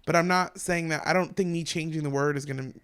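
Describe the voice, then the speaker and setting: Fast-talking woman with a deep voice. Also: fairly even intonation, smooth. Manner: frank, talks fast in long run-on explanations. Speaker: stand-up comedian, podcast guest. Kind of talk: candid casual conversation.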